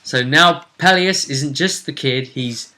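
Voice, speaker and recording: A man co-hosting a casual recap of a tabletop game as game master, telling it in a measured storyteller's way with pauses. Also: mid-range voice, pushed and bright.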